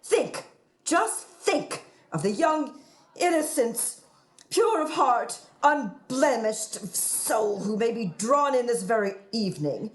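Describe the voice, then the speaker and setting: Grandiose, high-voiced moralizing widow. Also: female voice, sing-song, slow pace. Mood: righteous, overwrought. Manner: grandiose, florid, sanctimonious, affected. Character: scheming widow posing as prim, melodramatic moral crusader. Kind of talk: theatrical radio play acting.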